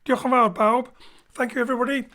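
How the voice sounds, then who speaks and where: A woman hosting for a theatre company, thanking the cast and reading announcements in a brisk, cheerful and jokey way. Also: a little high, flat delivery, music in the background. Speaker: host and director of the theatre company. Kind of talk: post-show announcements. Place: home studio.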